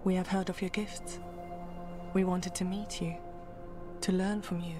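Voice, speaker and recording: A woman voicing a formal, measured dwarf historian, solemn and respectful, in a video game. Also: lowish voice, flat delivery, music in the background, lightly acted. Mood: reverent.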